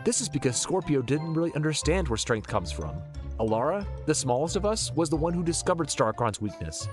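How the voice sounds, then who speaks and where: A man's voice: a little high, very animated, brisk, faint music behind. A man playing an earnest, dutiful young leader of a space hero team, sincere and plain-spoken.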